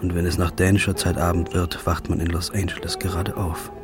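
An actor playing a troubled journalist who narrates his own story in a subdued, low inner monologue. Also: level tone, a little fast, lightly acted. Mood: brooding. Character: troubled male journalist, anxious and brooding. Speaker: narrator and lead character, a journalist. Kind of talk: first-person audio drama narration. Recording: music underneath the voice.

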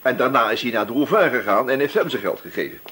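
Husky, probing police inspector. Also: male voice, medium pitch, very animated, fast talker, bright, forceful tone, full character voice. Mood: pressing, suspicious. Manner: musing, hesitant probing with repeated questions. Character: shrewd male police inspector, casually probing, patient. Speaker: actor in a radio play. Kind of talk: radio drama dialogue.

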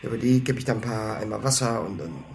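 A man's voice: fairly low pitch, animated, fast talker, gravelly, darkish tone, slight background noise. A man acting in a radio play as a frantic, glib small-time con man who stammers and pleads. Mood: pleading, evasive.

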